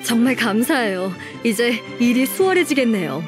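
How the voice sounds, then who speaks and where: An actress playing an earnest, polite young junior clerk in a video game. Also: female voice, a little high, expressive, moderate pace, music in the background, lightly acted. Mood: grateful. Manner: polite, deferential.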